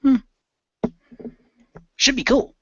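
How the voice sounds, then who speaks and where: A man's voice: extremely slow, fairly bright tone, no echo, lo-fi sound. A man playing a curious, laid-back young hero recruit in an amateur radio play. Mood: musing.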